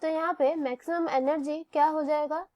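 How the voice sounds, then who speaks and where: A woman's voice: high voice, steady tone, normal speed, a little mellow, no echo. A woman with an Indian accent teaching physics step by step, methodically posing questions and then answering them. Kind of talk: step-by-step lesson, explaining a worked problem.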